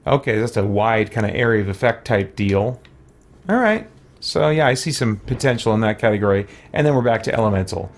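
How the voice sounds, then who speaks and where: A man's voice: lowish voice, lively intonation, a little fast. A man giving casual gameplay commentary, musing and talking to himself as he goes. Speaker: gaming let's-play host.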